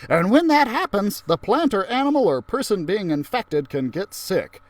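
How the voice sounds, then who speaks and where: Man voicing an animated, high-pitched lady science teacher. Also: moderate pace. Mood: explanatory. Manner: emphatic, explanatory storybook delivery. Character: upbeat, theatrical female science teacher, adult, enthusiastic.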